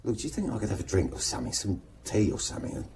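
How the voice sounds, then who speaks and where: An actor playing a nervous, evasive suspect in a radio drama police interview, halting and stumbling over his words. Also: fairly low pitch, very animated, creaky, dark tone, a little hiss or hum. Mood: flustered, pleading. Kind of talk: naturalistic radio drama acting.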